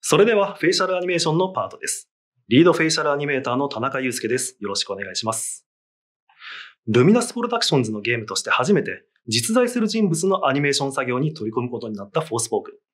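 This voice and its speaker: A man's voice: medium pitch, very animated, racing, a bit bright, slightly rough, no echo. A man presenting as a lead animator, reading a scripted technical explanation in a formal, polite manner.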